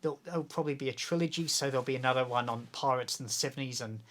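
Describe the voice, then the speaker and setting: A man's voice: medium pitch, racing, fairly bright tone. Australian man explaining things in an interview. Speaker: guest, amateur radio video maker and author. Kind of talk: casual interview, explanatory chat.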